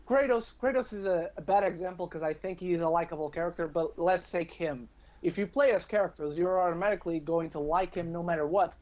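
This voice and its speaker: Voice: mid-range voice, highs cut off. A podcast guest in casual discussion, explaining step by step and repeating phrases for emphasis.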